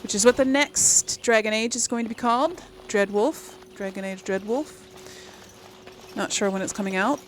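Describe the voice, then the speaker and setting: Female gaming host: medium pitch, informal and chatty, thinks aloud, faint game ambience behind. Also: moderate pace, slight background noise. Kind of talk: casual let's play commentary.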